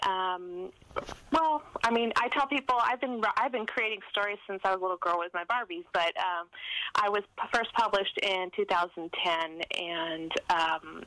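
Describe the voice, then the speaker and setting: A middle-aged woman chatting casually as an interview guest, halting and lighthearted as she talks. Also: medium pitch, sharp tone, no echo, low-quality recording. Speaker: author, podcast guest.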